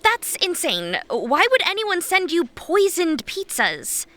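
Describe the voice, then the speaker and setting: A woman's voice: very high-pitched, sing-song, takes it slowly, sharp tone, full character voice. An actress playing an exasperated, skeptical woman office colleague in an audio drama.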